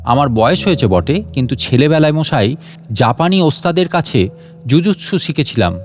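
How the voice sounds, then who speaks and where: A man's voice: fairly high pitch, lively intonation, moderate pace, natural voice. A man playing an elderly, unflappable scientist in a story, chatty, wry and fussily indignant. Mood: defiant. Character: elderly, unflappable, sarcastic, talkative gentleman scientist.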